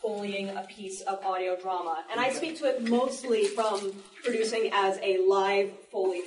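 A woman's voice: medium pitch, distant mic, a little hiss or hum. A woman speaking informally on a panel as a live Foley sound artist, presenting to an audience. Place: public library panel room. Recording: roomy library panel, off-mic.

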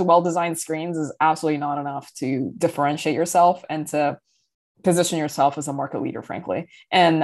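Low-voiced woman founder, fluent and chatty. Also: a little mellow. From Sudan. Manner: fluent but full of fillers and restarts, laughs often. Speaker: guest, company founder and CEO. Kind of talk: casual interview talk.

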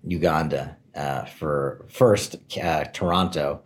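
A deep-voiced man in his forties tells his own career story in an interview. He sounds candid and relaxed, gently mocking his own best-laid plans. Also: slow. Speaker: interview guest.

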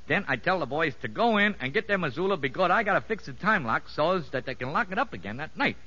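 Give me a cocky, slangy convict, animated and bright. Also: male voice, on the high side, fast talker, full character voice. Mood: boastful, relishing. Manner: slangy, ungrammatical tough-guy talk with pompous fancy words. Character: cocky, boastful male convict, streetwise safecracker and storyteller. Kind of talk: 1950s radio drama acting. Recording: dull vintage 1950s radio recording.

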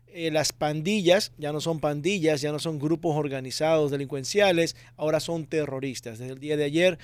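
A man from Ecuador, a security expert guest, explaining things in a measured way. His voice is slightly high and smooth. The recording is dry and close. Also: a little mellow, no echo. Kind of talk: interview answers.

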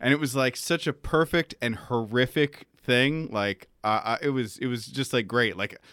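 A man chatting casually as a game keeper, halting and searching for words. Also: medium pitch, fairly bright tone, clean tone, no echo, clean studio sound. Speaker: game keeper, cast member. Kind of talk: casual chat.

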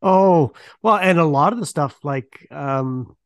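A man's voice: a little high, lively intonation, takes it slowly, dry recording, studio quality. A man hosting a podcast interview in a casual, enthusiastic and appreciative manner.

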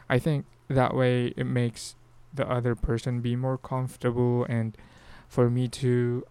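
A man's voice: medium pitch, mellow, smooth, dry recording. A Filipino man with a Filipino accent, hosting a podcast in casual solo talk, rambling with frequent restarts and fillers. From Philippines. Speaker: podcast host.

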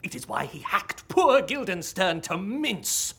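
Doom-laden theatrical prince, audio comedy: slow, hugely animated, grandly declaimed. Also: mid-range voice, creaky, husky, full character voice. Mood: ominous. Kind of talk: comedic audio drama acting.

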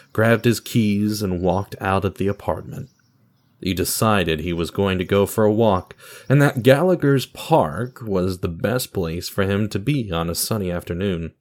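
A man reading scripted material as a radio theatre narrator and announcer, with guitar music behind him. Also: on the low side. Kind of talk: scripted dramatic story narration.

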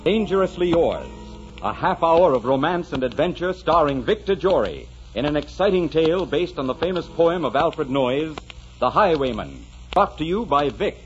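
A man's voice: a little high, lively intonation, moderate pace, over music. A man giving scripted 1940s radio narration as an announcer, dramatic and grandiose. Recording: dull, noisy 1940s radio recording, long reverb.

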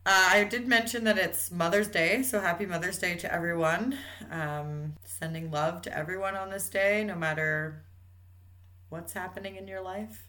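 A woman's voice: lowish voice, fairly even intonation, normal speed, pushed and bright. A woman co-hosting a podcast, chatting casually and upbeat with lots of fillers. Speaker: podcast co-host. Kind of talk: casual chat.

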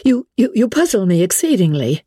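A woman voicing a spirited young gentlewoman in a classic novel reading, earnest, emphatic and politely exasperated. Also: medium pitch, sing-song, fairly quick, husky, no room sound, studio quality, pitched above the performer's own voice. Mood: exasperated, warm. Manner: emphatic, earnest, stammering in protest.

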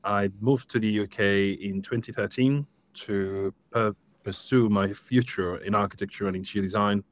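Man, Spanish accent, lowish pitch, relaxed storyteller, interview guest. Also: normal speed. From Spain, Seville. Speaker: guest, interior design studio director. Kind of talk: conversational interview answers on a live stream.

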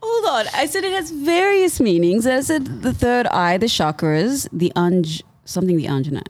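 A woman's voice: fairly high pitch, sing-song, normal speed. A woman co-hosting a podcast, explaining things hesitantly in casual banter. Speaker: podcast co-host.

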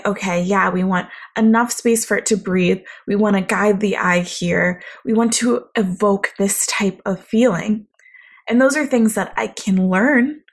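A young woman with a naturally quiet voice, talking reflectively in a solo podcast monologue. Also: medium pitch, vocal fry, husky. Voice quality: quiet, soft-spoken voice. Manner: reflective, conversational, thoughtful. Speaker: podcast host.

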